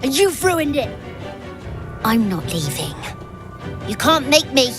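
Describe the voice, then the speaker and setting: A woman's voice: high voice, very animated, takes it slowly, exaggerated voice. A woman voicing a lonely, stubborn young spirit boy in a video game, with a childlike, petulant manner. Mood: defiant, hurt. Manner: childlike, sulky. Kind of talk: video game character acting. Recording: music behind the voice.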